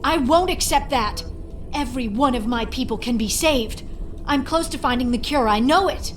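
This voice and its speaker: A woman's voice: sits high, normal speed, faint music behind, natural voice. A woman playing an idealistic, stubborn scientist who pleads with passion. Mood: defiant. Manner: impassioned pleading. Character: idealistic, defiant female scientist, desperate and stubborn.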